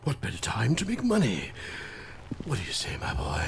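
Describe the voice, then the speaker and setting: An actor voicing a seedy, scheming male crime boss in a video game, gravelly, oily and threatening. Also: mid-range voice, huge pitch swings, moderate pace, slight background noise. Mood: coaxing, conspiratorial. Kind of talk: video game cutscene acting. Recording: music under the voice. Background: music.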